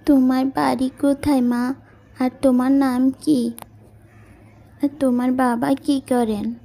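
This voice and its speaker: Woman as a kindly high-voiced matchmaking mother. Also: little pitch movement, slow pace, vocal fry, dark tone, exaggerated voice. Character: kindly, forthright middle-aged mother seeking a bride for son.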